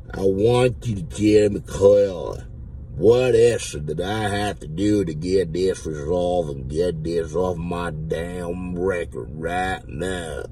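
A man's voice: deep voice, dark tone, far from the mic, slight background noise. A man in his thirties, seated in a car and talking into a phone, voicing a blustering redneck who claims to be a veteran, with a put-on Southern drawl and rambling, absurd talk. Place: inside a parked car. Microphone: phone held at the mouth.

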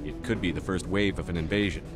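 A low male voice from an actor plays a resolute starship commander in a sci-fi game. He talks quickly and directly, to the point, with loud music underneath. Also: steady tone, natural voice. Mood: curious, direct. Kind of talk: video game dialogue acting.

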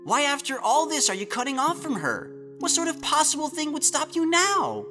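A woman's voice: high-pitched, very animated, normal speed, over music. A woman voicing a sarcastic, fiercely loyal little male guardian creature in a cartoon, snappy and incredulous. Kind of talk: animated web series dialogue.

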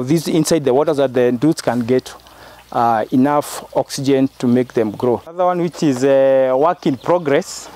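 A middle-aged man with a Kenyan accent, giving an instructional demonstration in a halting, repetitive way as he works. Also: on the high side, darkish tone, slight background noise. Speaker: project researcher giving a demonstration. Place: inside a greenhouse. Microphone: clip-on lapel mic.

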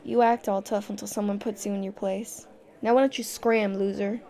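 A woman's voice: mid-range voice, level tone, normal speed, warm tone, natural voice. A woman playing a tough, fiercely protective young girlfriend in an audio roleplay, speaking directly to the listener. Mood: defiant, confrontational.

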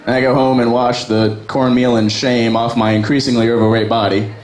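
Self-mocking young comic in echoey bar. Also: male voice, mid-range voice, little pitch movement, a little fast. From Virginia, USA. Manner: conversational, self-deprecating, crude. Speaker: stand-up comic. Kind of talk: open mic stand-up set. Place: small bar open mic stage. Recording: echoey bar with background noise.

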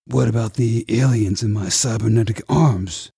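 A man acts out a wary soldier in a sci-fi audiobook. His voice is husky in a dry recording. He questions tensely, sounding confused and distrustful. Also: lowish voice, moderate pace, warm tone, no echo, exaggerated voice. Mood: suspicious. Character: wary, confused male soldier with cybernetic arms.

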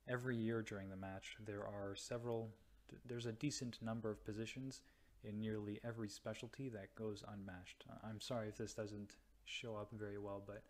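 A young man from North America, a radiology resident, explaining slides slowly with many pauses and restarts. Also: lowish voice, flat delivery. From United States or Canada. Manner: slow, hesitant, many ums and restarts. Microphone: voice-over to slides.

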